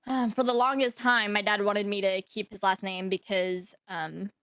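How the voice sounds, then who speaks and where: Young woman, smooth voice, hesitant storytelling. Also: medium pitch, fairly even intonation, normal speed, a bit bright, no echo. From Thailand. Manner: hesitant, many fillers and restarts. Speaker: podcast guest. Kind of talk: casual interview storytelling.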